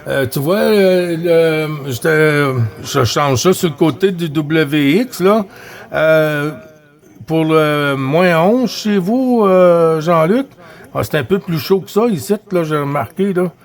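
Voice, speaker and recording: A man with a Quebec French accent chatting casually as a CB radio operator, halting and often repeating his phrases. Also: a little high. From Quebec, Canada. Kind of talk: casual radio chat with greetings.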